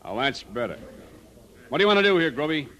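A man's voice: a little high, big pitch swings, fast, bright, forceful tone. An American man playing a bullying, bossy labour overseer in a 1950s radio drama. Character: bullying, gruff-tempered labour camp overseer.

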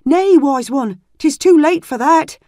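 A woman's voice: lively intonation, measured pace, no room sound, clean studio sound. A woman voicing a frantic young squire. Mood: regretful. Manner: breathless, urgent, high and loud. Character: frantic young male squire, barely bearded.

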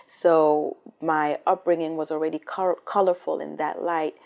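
A woman's voice: low voice, little pitch movement, measured pace, creaky, darkish tone. A woman from Cameroon, a singer, answering interview questions in an eager, storytelling way. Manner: enthusiastic, storytelling, repeats words. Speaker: singer and rapper guest. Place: hotel room interview set, indoors.

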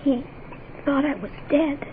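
An anxious noir femme fatale in a vintage radio melodrama. Her voice is high, and the recording is narrow with light noise. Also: unhurried. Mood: weak, dying. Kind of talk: 1960s radio melodrama acting. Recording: muffled, noisy 1960s radio recording.

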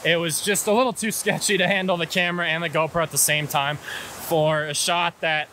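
A young American man with a fairly high, bright, forward voice explains things in an easygoing vlog style. He is outdoors on an action camera, with hiss and rushing water behind him. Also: fairly even intonation. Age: 20s. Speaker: hiking and photography guide. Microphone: action camera at arm's length.

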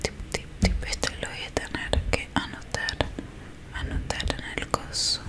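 Young argentinian woman doing asmr roleplay, breathy whisper, really slow, close on the mic. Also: sharp tone. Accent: Argentine Spanish. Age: young adult. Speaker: ASMR performer playing a technician who erases memories. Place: small dim room indoors. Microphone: close microphone in front of her.

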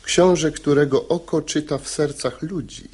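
An actor playing a stern, dignified royal officer in a verse radio play, formal and authoritative. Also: male voice, fairly high pitch, fairly even intonation, normal speed, a little mellow, slightly rough. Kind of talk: verse stage comedy performed as radio drama.

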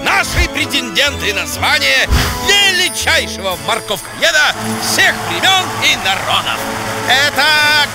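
A man voicing a grandiose, excited bird who works as a ringside announcer in a children's cartoon, proclaiming in drawn-out phrases. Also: very high-pitched, exaggerated voice. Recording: loud music behind the voice.

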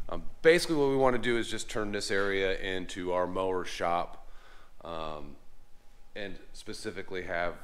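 A man in his forties giving a casual walkthrough of his business's garage shop. He talks in a relaxed, plainspoken way, with many fillers and restarts, into a camera held at arm's length. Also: low voice. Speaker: lawn care business owner. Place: large unfinished garage workshop, indoors. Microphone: handheld camera at arm's length.

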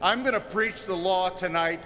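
A man's voice: high voice, moderate pace, sharp tone. A man preaching a fiery, confrontational sermon with emphatic, repetitive rhetorical phrasing. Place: large reverberant hall. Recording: echoey hall, faint mains hum.

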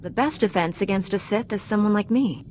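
An actress playing an earnest, resolute Jedi knight in a video game cutscene, speaking calmly and firmly. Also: female voice, mid-range voice, a little fast, faint music behind, close to an everyday voice. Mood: earnest, serious. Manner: calm, firm, earnest.

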